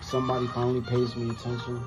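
A man's voice: mid-range voice, monotone, fast talker. A man, chatting casually to camera in a rambling, slangy way with frequent swearing. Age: 20s. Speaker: reaction video host. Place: small room with wooden cabinets indoors. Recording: dull lo-fi sound, music behind.

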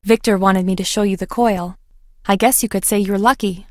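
A woman's voice: medium pitch, fairly even intonation, moderate pace, darkish tone, no room sound, clean studio sound, lightly acted. A woman acting a young female research scientist in a video game, conversational, wry and guarded. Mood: casual, friendly.

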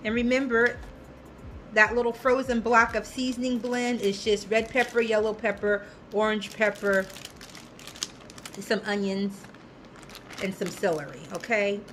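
A woman's voice: mid-range voice, fairly even intonation, unhurried, long pauses, a bit bright, a little hiss or hum. A woman in her thirties hosting a casual home cooking video, chatty and upbeat, talking straight to viewers in her kitchen. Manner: upbeat, chatty, talks to viewers as "you guys". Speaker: cooking vlogger, host. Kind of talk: casual cooking demo chat.

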